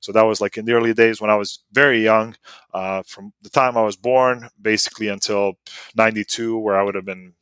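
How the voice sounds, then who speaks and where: A man's voice: lowish voice, fairly even intonation, brisk, a bit bright, clean tone, no echo. A man in his thirties from Bosnia, whose first language is Serbo-Croatian, telling stories as a podcast guest in a loose, rambling way full of "like" and "you know.". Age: 30s. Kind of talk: casual storytelling interview.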